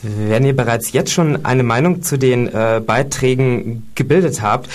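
Man, radio co-host, hesitant, fillers, mid pitch. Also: unhurried. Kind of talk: casual radio talk, reading quotes aloud.

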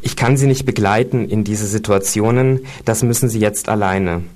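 A man's voice: on the low side, normal speed, darkish tone. A man co-hosting a radio show, talking casually with many fillers and reading quotes aloud. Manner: hesitant, with fillers.

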